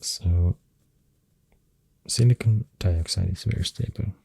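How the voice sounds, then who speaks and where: An ASMR creator with a Swedish accent, speaking very softly and often near a whisper, thinking aloud hesitantly into a close microphone. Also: low voice, slow, dry recording. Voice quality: very soft, breathy, often dropping to a whisper. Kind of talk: soft-spoken ASMR, rambling science facts.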